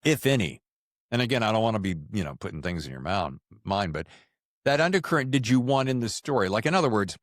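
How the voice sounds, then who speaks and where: Man, podcast host, casual interview, animated and rambling, swinging pitch, dry sound. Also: fast talker, no echo.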